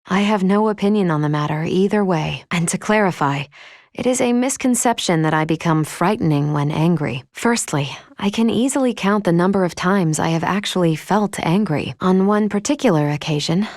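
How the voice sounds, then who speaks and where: An actress playing a calm, analytical android companion in a video game, gently caring, with formal, precise diction. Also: female voice, lowish voice, normal speed, no room sound, studio quality, close to an everyday voice. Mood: composed, matter-of-fact. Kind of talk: video game character dialogue.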